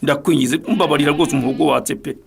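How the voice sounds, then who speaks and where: A man's voice: on the high side, fairly even intonation, fast talker, creaky, noisy background. A Rwandan man playing a hard-drinking, debt-ridden fodder carrier in a radio drama, pleading and wheedling stubbornly. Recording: animal and crowd noises behind. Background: goats and sheep bleating.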